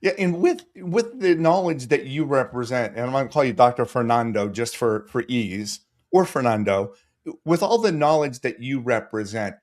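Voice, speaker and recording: Upbeat guy hosting a podcast, easy chatty delivery, middle pitch. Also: lively intonation, normal speed. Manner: upbeat, reads guest introduction then chats. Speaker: podcast host. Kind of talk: conversational interview.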